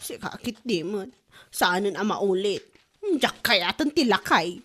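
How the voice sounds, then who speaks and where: A woman playing a lively, gossipy, scheming village wife in an Ilocano-accented comedy audio drama. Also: mid-range voice, unhurried. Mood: nagging.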